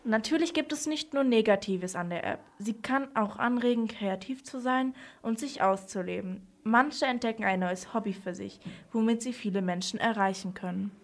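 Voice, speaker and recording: A woman's voice: a little high, moderate pace, hardly any pauses. A woman presenting on a children's radio programme, explaining things in scripted dialogue.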